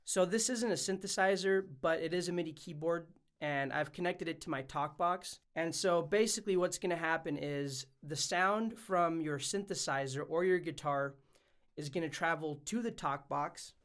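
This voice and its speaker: A man's voice: a little high, normal speed, fairly bright tone. A man presenting a casual explainer vlog as a music production YouTuber.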